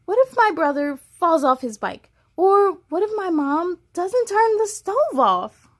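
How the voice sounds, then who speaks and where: A woman's voice: extremely high pitch, very animated, takes it slowly, fairly bright tone, pitched above the performer's own voice, full character voice. Pippa, a woman doing a worried little girl. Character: anxious little girl, worried and easily frightened.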